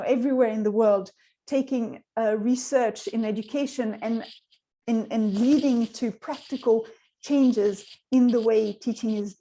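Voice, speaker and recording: A woman whose first language is Swedish answers audience questions as a scientist. Her voice sits a little high but sounds warm and mellow. She talks thoughtfully, with frequent fillers and restarts. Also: slight background noise, low-quality recording. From Sweden. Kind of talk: online Q&A answer.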